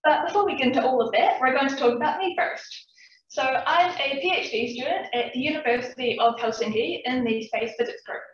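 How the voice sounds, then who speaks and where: A woman's voice: high-pitched, fairly quick. A young woman with a New Zealand accent, giving a friendly public science talk to a live audience. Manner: friendly, chatty, addresses audience directly. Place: large echoey lecture room. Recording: echoey, distant, dull room recording.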